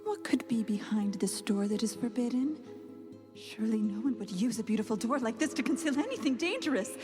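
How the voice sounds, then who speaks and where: An actress playing a naive, curious young wife who muses theatrically to herself in an audio drama. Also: female voice, fairly high pitch, expressive, a little fast, lightly acted. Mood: curious, hesitant. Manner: theatrical musing aloud. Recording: prominent music under the voice.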